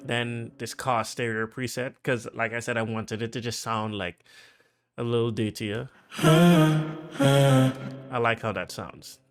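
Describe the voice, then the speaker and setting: A man with a Trinidadian accent casually talking through how he produced a track, chatty and full of fillers, getting excited as he goes. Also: mid-range voice, slow, long pauses, faint music behind. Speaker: music producer.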